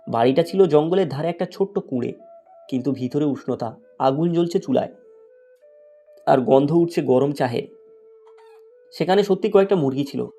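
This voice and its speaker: A man's voice: on the high side, dark tone. A man narrating a scripted moral fairy tale as a storyteller.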